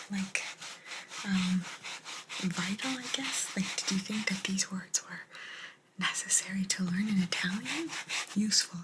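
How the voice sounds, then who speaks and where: A woman giving a language lesson in a soft, breathy ASMR whisper, gently repeating words. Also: medium pitch, noisy background. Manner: slow, gentle repetition of words. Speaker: host teaching Italian vocabulary.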